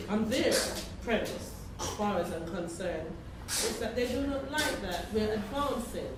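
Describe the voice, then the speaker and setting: A woman giving a reflective account as a community member, in measured and rather formal wording. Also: medium pitch. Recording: very echoey, distant, noisy room.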